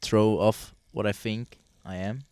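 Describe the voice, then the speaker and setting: A young man from the Netherlands chatting casually as a podcast guest outdoors at a barbecue, relaxed and hesitant, with lots of ums and restarts. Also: on the low side, unhurried, clear, resonant tone, dry recording. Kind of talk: casual chat.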